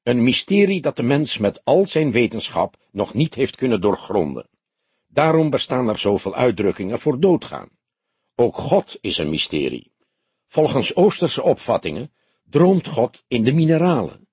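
A man voicing an old police detective in an audiobook, solemn, philosophical and gentle, speaking in a grave, measured, lecturing way. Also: mid-range voice, very animated, breathy edge, no echo, voice pushed up. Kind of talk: audiobook character dialogue.

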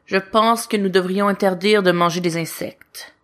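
A woman's voice: medium pitch, steady tone, normal speed, a bit bright, close to an everyday voice. Woman as child angel boy, storybook character, serious, pragmatic, matter-of-fact. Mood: serious, decisive.

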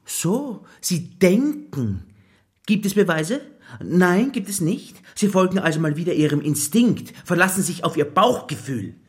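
An actor playing a pompous middle-aged police superior with a husky voice, talking with theatrical, scornful condescension in a radio crime drama. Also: male voice, high-pitched, big pitch swings, unhurried, full character voice. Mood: mocking.

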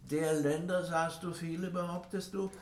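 An actor playing a wary, grumbling, sly dwarf smith in a fantasy radio drama, hesitant and muttering. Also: male voice, a little high, little pitch movement, normal speed, darkish tone, natural voice. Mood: scheming. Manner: hesitant, muttering, with stammered hums. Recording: roomy echo with faint noise.

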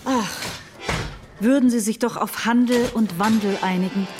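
An actress playing a cautious, genteel moderate woman in a radio drama, gently admonishing and earnest. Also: on the high side, moderate pace, natural voice. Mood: wishful. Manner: careful, admonishing. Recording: studio radio play with loud music.

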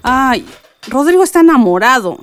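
An actress playing a doting, fretful middle-aged village mother with a Mexican accent, exclamatory and expressive, in a radio drama. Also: high voice, moderate pace. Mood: worried disapproval.

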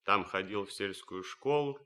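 An actor playing an idealistic, cultured German officer in a radio drama, speaking with solemn, impassioned deliberation. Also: male voice, lowish voice, normal speed, bright, forceful tone. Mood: earnest, reflective. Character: idealistic German officer, cultured music lover, ardent and reverent. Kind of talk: theatrical radio drama monologue.

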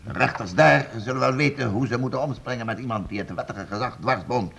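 A man's voice: medium pitch, fairly quick, creaky, a bit bright, breathy edge. An actor playing a stern, domineering nobleman in a period radio play, curt, impatient and commanding. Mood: threatening. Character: stern, domineering nobleman interrogating servants for the crown. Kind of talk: period radio play acting in formal Dutch.

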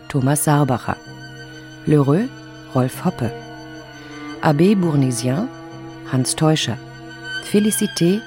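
An announcer reading radio-play credits in a deliberate, formal, scripted manner. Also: mid-range voice. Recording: music clearly under the voice.

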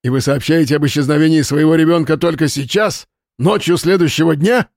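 A man's voice: mid-range voice, lively intonation, moderate pace, darkish tone, no room sound, clean studio sound. A man voicing a stern, weary older police investigator in an audiobook, with a clipped, commanding manner. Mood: insistent.

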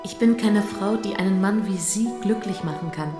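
Woman playing a needling wife under loud music. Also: medium pitch, moderate pace, close to an everyday voice. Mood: dissatisfied. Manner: needling, questioning. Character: mocking, dissatisfied wife heard in memory. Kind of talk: German radio drama dialogue.

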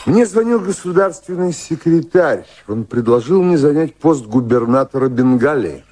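An actor playing a dignified, ardent British colonial official in his fifties in a Russian radio drama, courtly and deliberate, with a husky voice. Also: fairly high pitch, lively intonation, mellow. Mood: genial, proud.

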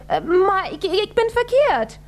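An actress playing a muddled visitor in a radio drama, speaking haltingly in a German accent and mixing in German words. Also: female voice, extremely high pitch, very animated, heavily acted. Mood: confused. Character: confused woman visitor with German-laced Dutch.

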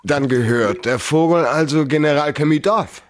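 An actor playing a cool, hard-boiled private detective in a German radio crime drama, laconic and dry. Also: male voice, on the high side, normal speed, slight background noise, close to an everyday voice. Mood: guarded, dry.

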